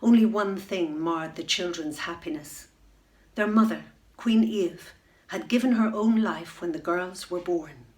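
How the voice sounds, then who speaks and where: A middle-aged woman reading a children's bedtime story, unhurried and expressive, dropping to a hush at tense moments. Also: on the low side. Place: room at home, indoors. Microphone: webcam facing the reader.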